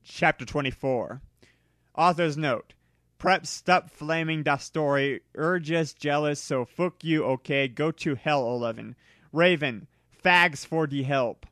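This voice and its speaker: A man reading a story aloud in a theatrical, deliberate way, as an amateur dramatic narration. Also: on the high side, fairly bright tone, no echo, studio quality.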